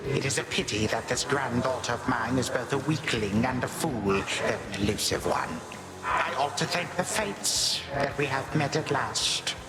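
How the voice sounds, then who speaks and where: A man's voice: medium pitch, normal speed, natural voice. An actor playing an imperious, disdainful old titan grandfather in a video game, speaking in pompous, archaic phrases. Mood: cold disdain. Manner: pompous, archaic formal speech. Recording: echoing voice over loud game music.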